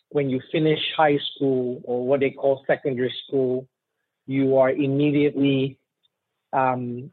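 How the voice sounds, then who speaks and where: A man in his forties from Nigeria, a trial attorney telling his life story in a halting way, with many ums. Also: mid-range voice, no room sound. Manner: halting, with frequent ums and restarts. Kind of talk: conversational storytelling. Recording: husky voice on a tinny phone-like line.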